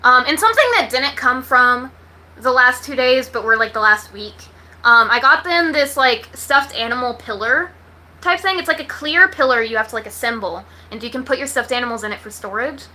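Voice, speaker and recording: A woman's voice: high voice, lively intonation, normal speed, sharp tone. A woman from Texas with an American accent, chatting casually to livestream viewers in a blunt, slangy, sweary way. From Texas, United States. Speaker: livestream host.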